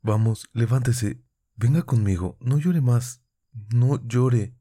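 An impassioned young woman, near tears and pleading, voiced by a man reading an audiobook, lifted well above his low natural register. The delivery is urgent and emotional, and the recording is dry and close. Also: takes it slowly, dark tone, dry recording. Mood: tender, soothing. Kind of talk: audiobook character voice.

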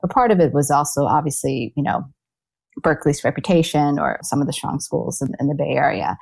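A woman's voice: deep voice, fairly quick, gravelly, a little mellow, breathy edge. A woman in her forties from Taiwan, answering interview questions in a reflective way, with fillers and restarts. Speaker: guest, alumna.

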